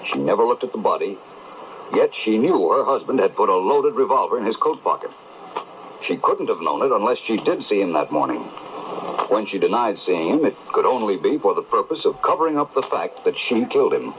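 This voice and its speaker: Over slightly noisy, dull AM radio, a shrewd gentleman detective in an old mystery drama. His voice is lowish and lively, and he lays out his reasoning with urbane confidence. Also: fairly quick. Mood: assured. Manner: urbane, assured, expository. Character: shrewd, self-assured gentleman detective explaining a case. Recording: dull, hissy AM radio reception.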